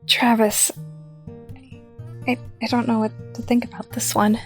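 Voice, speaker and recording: A woman playing a playful, teasing young woman in an audio drama, speaking gently over guitar music. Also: a little high, level tone, unhurried. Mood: gentle teasing.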